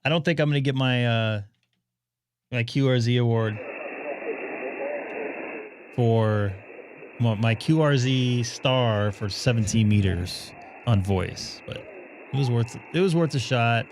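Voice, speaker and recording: A man hosting a ham radio live stream, chatting and thinking aloud as he operates, his voice going hoarse. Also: mid-range voice, takes it slowly, long pauses, background noise. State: losing his voice.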